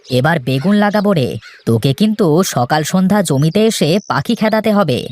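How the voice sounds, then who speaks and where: A lowered, steady voice for a sensible big brother who farms, put on by a woman. He speaks firmly in a children's cartoon, with a scolding edge. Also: a little fast, a little hiss or hum, close to an everyday voice. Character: sensible, firm eldest brother, a hardworking farmer.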